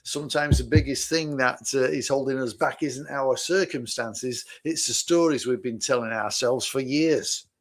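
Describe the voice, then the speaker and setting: A man co-hosting a live stream in a relaxed, chatty way. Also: mid-range voice, moderate pace, few pauses. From England, Manchester. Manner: relaxed, brief affirmations, clears throat often. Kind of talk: casual livestream chat.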